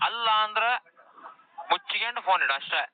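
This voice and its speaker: ಫೋನಿನಲ್ಲಿ ವೇಗವಾಗಿ ಮಾತಾಡುವ ಉತ್ತರ ಕರ್ನಾಟಕದ ಗಂಡಸು. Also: male voice, sits high, lively intonation, brisk, a little hiss or hum. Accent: North Karnataka Kannada. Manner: pushy, insistent, curt. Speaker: prank caller. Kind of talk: confrontational phone call. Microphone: telephone. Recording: tinny band-limited phone line.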